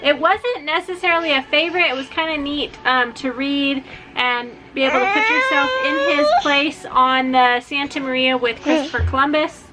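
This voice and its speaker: A woman's voice: sits high, animated, normal speed, loud music behind the voice. A woman talking in a casual, informal vlog style as a homeschooling mother presenting books. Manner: informal, often breaks off to talk to her small children.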